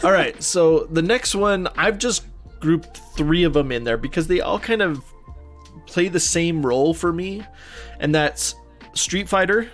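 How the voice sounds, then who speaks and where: A man with a voice a bit higher than average co-hosts a podcast. His talk is casual and informal, that of a keen fan. There is faint music in the background. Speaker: podcast co-host. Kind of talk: casual chat about movies.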